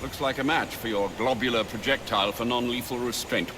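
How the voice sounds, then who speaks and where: An actor playing a dry, proper older butler in a video game, formal, measured and deferential. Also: male voice, mid-range voice, fairly even intonation, close to an everyday voice. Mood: dry, composed. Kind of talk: video game cutscene acting. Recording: clear vehicle and outdoor ambience noise.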